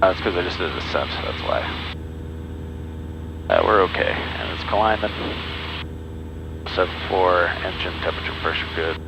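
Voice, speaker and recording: Male pilot with a creaky voice, muttering cockpit checks over clear engine drone on a dull headset mic. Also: low voice, expressive, normal speed, pauses often. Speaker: private pilot. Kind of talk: casual cockpit talk and checklist callouts. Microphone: aviation headset. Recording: muffled aviation headset audio, loud engine noise. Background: small propeller aircraft engine.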